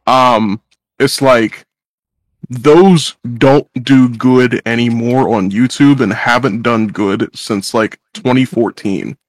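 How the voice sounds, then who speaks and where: A man's voice: mid-range voice, slow pace, dry recording, poor audio. Laid-back slangy man, dry sound. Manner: laid-back, slangy, many fillers and swearing. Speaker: YouTuber giving advice. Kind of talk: casual group chat.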